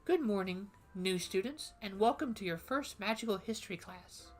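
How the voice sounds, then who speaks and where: A woman's voice: mid-range voice, lively intonation, soft background music, natural voice. A woman reading as a formal magic-history teacher. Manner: formal, measured lecturing.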